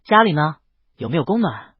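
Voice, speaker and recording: A man narrating plays a considerate company boss in a romance audiobook, calm and gently caring. His voice is slightly husky, on a dull lo-fi recording. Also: on the high side, brisk, no echo, full character voice. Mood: earnest, caring. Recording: dull, lo-fi, close dry sound.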